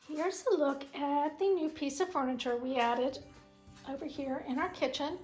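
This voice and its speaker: A middle-aged woman with a voice made raspy by a sinus cold, chatting fondly and rambling as she shows her collection in her home kitchen. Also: high voice, moderate pace, a little mellow, faint music behind. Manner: chatty, fond, meandering. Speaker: vlog host. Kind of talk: casual home tour chat.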